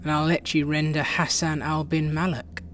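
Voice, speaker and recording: A man's voice: a little high, flat delivery, moderate pace, fairly bright tone, slight background noise, lightly acted. A man acting a ruthless intelligence chief in a radio drama, clipped and commanding. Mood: cold, commanding. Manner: clipped, authoritative.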